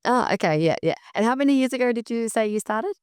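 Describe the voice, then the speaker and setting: A woman's voice: a little high, animated, fast talker, clean tone, no room sound, clean studio sound. A woman hosting a podcast interview, friendly and curious, asking long strings of questions. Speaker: podcast host. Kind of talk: casual interview.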